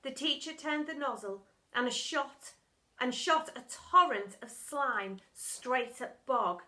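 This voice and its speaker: A woman's voice: high voice, animated, takes it slowly, pushed and bright. A woman narrating a children's storybook expressively and theatrically, as a primary school storytime reader. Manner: dramatic, theatrical.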